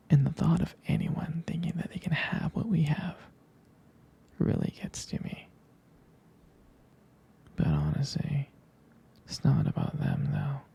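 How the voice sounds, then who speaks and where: A man playing a tender, protective, jealous boyfriend in an intimate whispered ASMR roleplay, breathy and hesitant, with gravelly fry. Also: a little high, dark tone, exaggerated voice. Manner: slow, intimate, hesitant murmuring. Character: tender, protective, jealous young boyfriend comforting his partner.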